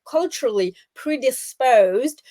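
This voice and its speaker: A middle-aged woman with a Russian accent, explaining as an expert interview guest in a deliberate, emphatic way with pauses mid-phrase. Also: high-pitched, animated, warm tone. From Soviet Union. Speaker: guest analyst, former intelligence officer.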